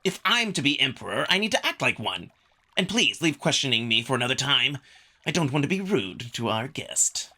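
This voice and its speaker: A man's voice: mid-range voice, sing-song, normal speed, bright, forceful tone, husky, full character voice. A man voicing a haughty, irritable alien wolf prince in a visual novel, curt and dismissive. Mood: irritated, dismissive.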